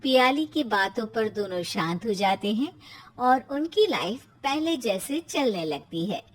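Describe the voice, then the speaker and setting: A woman narrating a scripted cartoon family story in an animated storytelling style. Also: on the high side, a little slow.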